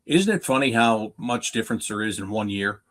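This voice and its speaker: A man's voice: on the low side, expressive, moderate pace, a bit bright. A North American man giving unscripted sports analysis as a guest, emphatic, often posing questions and answering them himself. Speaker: hockey play-by-play announcer as guest analyst.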